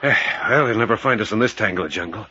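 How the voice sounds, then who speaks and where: An actor playing a resolute American captain in a 1940s radio adventure, commanding and dramatic, with a raspy, widely swinging voice. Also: male voice, on the low side, fast talker, pushed and bright, slight background noise. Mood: urgent. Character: resolute, quick-thinking captain leading an adventure team. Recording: muffled vintage 1940s radio recording.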